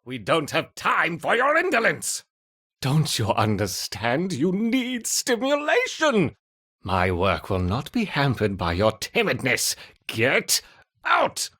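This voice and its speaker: Wildly swooping mad scientist. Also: male voice, on the high side, wildly swooping pitch, slow pace, bright, forceful tone, breathy edge, exaggerated voice. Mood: angry, frantic. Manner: theatrical, mock-sweet, swinging from murmurs to shrieks. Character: unhinged male scientist, gleefully cruel, running insect experiments. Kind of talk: scripted video game voice acting.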